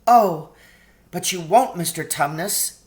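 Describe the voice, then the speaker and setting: Man voicing an earnest little girl, raised pitch. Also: slow. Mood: anxious, pleading. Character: earnest, kind young girl, anxious but polite.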